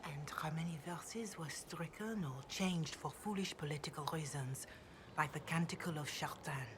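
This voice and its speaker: Low-voiced solemn priestess played by an actress. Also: female voice, noisy background, lightly acted. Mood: reflective. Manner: solemn, measured, reverent. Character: devout, grave chantry priestess, calm and counselling. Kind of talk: video game dialogue, scripture recitation.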